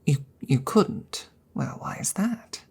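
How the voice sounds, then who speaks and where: A woman voicing a polite, earnest, slightly awkward female dragon in an intimate ASMR roleplay, speaking softly and formally with hesitant stammers and frequent whispering. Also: deep voice, lively intonation, takes it slowly, full character voice. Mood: reassuring, persuasive. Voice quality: breathy, soft, often slipping into whisper.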